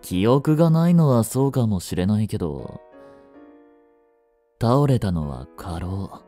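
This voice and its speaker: A man plays a young yandere boyfriend in a romantic audio drama, jealous and possessive. His mellow, intimate voice moves slowly and coaxingly, with wide swings in pitch. He sounds sweetly menacing and controlling. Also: big pitch swings, faint music behind. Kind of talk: Japanese romantic situation voice drama.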